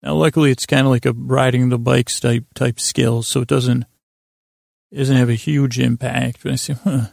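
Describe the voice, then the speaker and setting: A man hosting a sleep podcast with a meandering, whimsical monologue, halting often with stammers, repeats and long pauses. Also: mid-range voice, flat delivery, creaky, no echo, studio quality. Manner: gently whimsical, wandering off on tangents. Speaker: podcast host. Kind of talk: rambling bedtime monologue to lull listeners to sleep.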